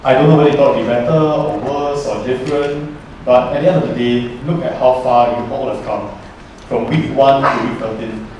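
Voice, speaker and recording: A man's voice: mid-range voice, steady tone, normal speed, noisy background. A young man, a student presenter, talking to a class in a chatty, joking storytelling way. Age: young adult. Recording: roomy echo from far off.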